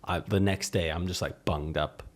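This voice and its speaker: A man's voice: low-pitched, steady tone, moderate pace. A man, co-hosting a casual podcast, rambling and hesitant. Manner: rambling, hesitant, lots of fillers and laughs. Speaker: podcast co-host, musician. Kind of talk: casual chat.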